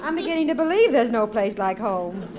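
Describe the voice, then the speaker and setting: Woman acting a high-voiced, needling radio sitcom wife. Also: animated, fairly quick, close to an everyday voice. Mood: dry needling. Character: skeptical, needling wife, dryly practical. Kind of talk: 1950s radio comedy acting. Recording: faintly noisy 1950s radio recording.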